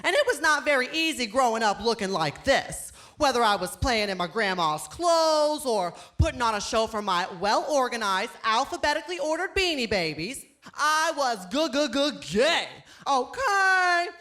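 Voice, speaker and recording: A man's voice: very high voice, sing-song, unhurried, sharp tone, soft background music. A drag queen comedian with a Texan accent, sassy stand-up on stage with a handheld mic. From Dallas, Texas. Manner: sassy, addresses crowd as y'all. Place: theater stage with live audience.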